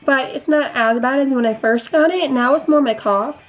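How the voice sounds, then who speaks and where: Young woman, fairly high pitch, slightly congested and sick with a cough, casual rambling vlog chat, dull lo-fi audio. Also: normal speed. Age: young adult. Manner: rambling, repeats and restarts thoughts. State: sick with a cough and sore throat. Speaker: vlogger. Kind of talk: casual chatty life update while doing makeup.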